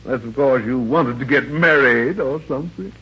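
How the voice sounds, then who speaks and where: An actor playing a genial, hearty ship's captain in a 1940s radio comedy, with a blustery, hesitant way of talking. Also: male voice, mid-range voice, normal speed, sharp tone, breathy edge. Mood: genial, teasing. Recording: dull old 1940s radio recording.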